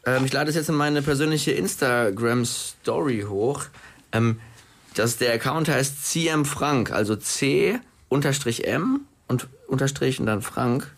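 A man's voice: mid-range voice, animated, unhurried, creaky. A man chatting casually with wry humour as a band member being interviewed in a radio studio.

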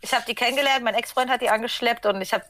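Female interviewee. Fairly high pitch, dry recording, loose and blunt conversational delivery. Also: racing, fairly bright tone, no echo. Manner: slangy, blunt and informal. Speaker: band member being interviewed. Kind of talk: casual chat.

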